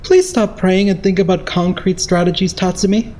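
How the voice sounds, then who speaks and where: A woman's voice: on the low side, steady tone, normal speed, dark tone, a little hiss or hum, close to an everyday voice. A woman playing a cool, curious, practical young idol in an anime game fan dub, matter-of-fact and thoughtful.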